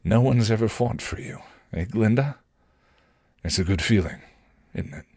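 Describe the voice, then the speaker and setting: A man voicing a wry, cocky male fighter with a soft spot, nonchalant, in a story reading. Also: fairly low pitch, animated, moderate pace, vocal fry, voice pushed up. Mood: gently wry.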